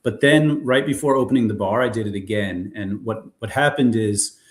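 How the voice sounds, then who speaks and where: A man's voice: on the low side, normal speed, roomy sound. An American man being interviewed, telling stories in a rambling, casual way with frequent restarts. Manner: rambling, restarts often, animated storytelling. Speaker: guest, former bar owner in Korea.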